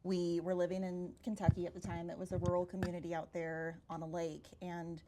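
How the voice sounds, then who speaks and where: A woman's voice: fairly low pitch, monotone, brisk. A woman in her thirties who grew up in Hawaii, a podcast guest who tells her story in a bubbly way and laughs often. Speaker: tourism director, guest. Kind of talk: casual podcast conversation. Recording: faint background noise.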